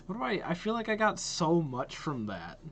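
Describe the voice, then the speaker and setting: A man's voice: high-pitched, very animated, normal speed. A young man giving casual, enthusiastic reaction commentary as a video host. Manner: enthusiastic, repetitive praise. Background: faint music.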